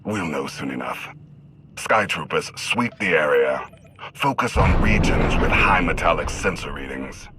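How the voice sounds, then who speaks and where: Very deep, cold imperial villain. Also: male voice, expressive, noisy background. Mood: commanding. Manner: measured, authoritative. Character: commanding male imperial villain hunting fugitives, cold, menacing. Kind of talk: sci-fi game voice acting.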